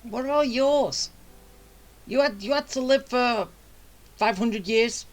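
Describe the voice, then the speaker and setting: A woman's voice: a little high, slow pace. A woman streaming a video game, reacting casually in an informal, joking way.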